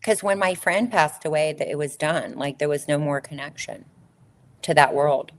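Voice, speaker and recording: Woman, middle-aged, low voice, former model guest, emphatic, repeats words for stress. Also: fairly even intonation, normal speed. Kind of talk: candid conversational storytelling.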